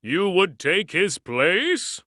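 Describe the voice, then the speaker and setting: A man playing a huge, menacing, gruff beast in a storybook drama, with a booming, commanding delivery. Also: very animated, extremely slow, pushed and bright, no room sound, studio quality, full character voice. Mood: incredulous. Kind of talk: storybook audio drama acting.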